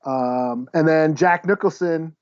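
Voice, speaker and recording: Higher-voiced man, dry slightly muffled sound. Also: slow, smooth, no echo. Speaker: podcast co-host. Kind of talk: casual podcast chat. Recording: slightly dull, close dry recording.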